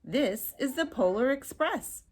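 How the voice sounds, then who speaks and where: A woman voicing a brisk male train conductor who calls children aboard in a storybook. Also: high-pitched, animated, a little mellow, breathy edge, pitched above the performer's own voice, exaggerated voice. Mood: eager.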